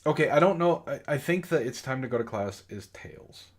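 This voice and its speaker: A man's voice: medium pitch, expressive, normal speed. A man hosting a casual comedic read-aloud, breaking off to react with amused exasperation and swearing. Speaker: host of a comedy book club reading. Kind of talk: casual read-aloud of bad fan fiction with amused commentary.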